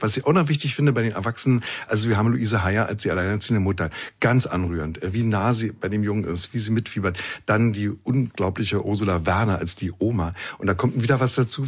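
Brisk, husky male interviewer. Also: on the low side. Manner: enthusiastic, effusive. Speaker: interviewer, host. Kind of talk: chatty film interview.

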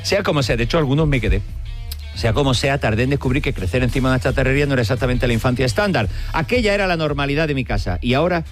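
Quick, playful radio host. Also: male voice, medium pitch, soft background music. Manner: playful, self-mocking storyteller. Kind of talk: scripted monologue and casual chat.

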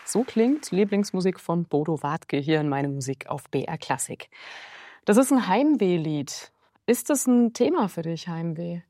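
A woman with a fairly low voice hosts a music interview on the radio. She speaks as she presents and questions. The sound is dry, with little room. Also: animated, measured pace, dark tone, no echo.

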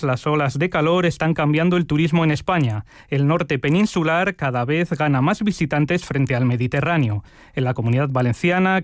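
Man, news anchor, formal, measured, slightly high voice, dry booth. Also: no room sound. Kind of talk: scripted news reading.

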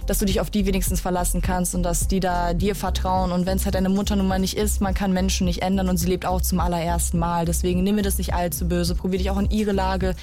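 A woman's voice: lowish voice, level tone, fast, few pauses, warm tone. Young woman rattling off slangy advice, drops english words, music going behind. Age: young adult. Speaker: co-host of advice call-in show. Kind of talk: casual advice chat.

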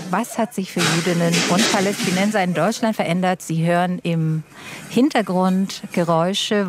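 A woman hosting a radio interview, asking and presenting in a moderated style. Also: fairly low pitch.

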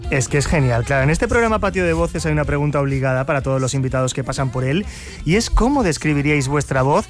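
A man from hosts an interview show. He asks his guests quick, upbeat questions. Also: a little high, lively intonation. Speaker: radio host. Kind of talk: hosting an interview. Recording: faint background music.

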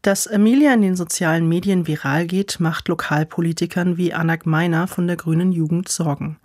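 A reporter reading scripted news narration in a measured, slightly wry tone. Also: medium pitch, fairly even intonation, a little mellow, no echo, clean studio sound.

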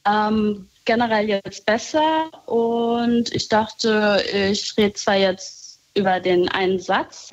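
A woman talking casually on a call, reflective and soft-spoken, often laughing lightly. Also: medium pitch, highs cut off. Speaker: guest.

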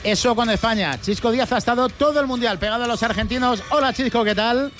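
A man's voice: very high voice, quick pace, fairly bright tone. A man with an accent from Spain hosting live sports talk on the radio. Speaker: radio sports show host.

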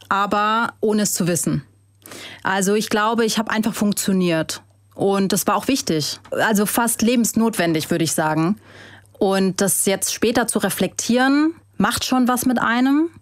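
A woman from Germany, a guest and author, giving thoughtful, measured interview answers with the odd hesitation. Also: medium pitch, no room sound, studio quality.

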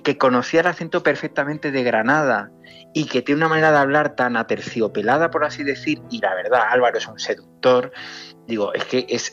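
A man's voice: medium pitch, a bit bright. Andalusian man explaining at length, muffled. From Andalusia, Spain. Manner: explains at length, restarts often, tags "vale" and "eh". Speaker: film director being interviewed. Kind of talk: casual interview answers. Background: faint music.

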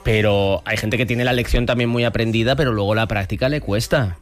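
A man's voice: fairly low pitch, a little fast, faint music behind. A man hosting a radio talk show, chatty and prompting his colleagues with questions.

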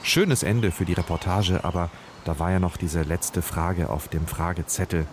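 A male radio reporter narrates his reportage in a calm, scripted storytelling way. His voice sits low, with a faint ambient bed beneath. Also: moderate pace, a little hiss or hum.

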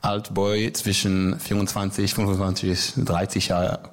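Deep-voiced Israeli man, halting German. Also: little pitch movement. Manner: halting learner German, searching for words. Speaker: guest musician. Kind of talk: interview answers.

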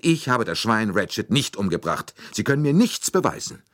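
A man voicing an excitable, defensive Italian man who protests with scornful, indignant emphasis in an audiobook story. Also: fairly low pitch, moderate pace, no echo, clean studio sound, voice pushed up. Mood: agitated, indignant.

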